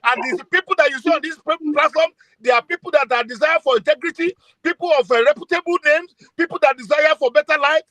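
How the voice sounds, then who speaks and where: A man in his sixties from Nigeria with an Ekiti accent, speaking passionately in an online forum, halting and repeating words as he goes. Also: extremely high pitch, pushed and bright, dry recording, low-quality recording. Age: 60s. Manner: halting, repeats words, stumbles over phrases. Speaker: call-in speaker. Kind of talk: impassioned online forum talk.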